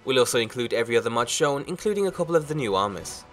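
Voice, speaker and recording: A man's voice: medium pitch, animated, very fast. A man hosting a game mod review, reading scripted narration in an orderly presenter's manner. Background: music.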